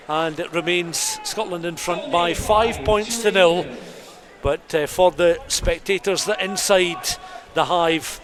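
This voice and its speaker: Male radio rugby play-by-play caller, high register, detailed scene-setting, live from the ground. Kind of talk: live radio sports commentary. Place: commentary position at a rugby ground.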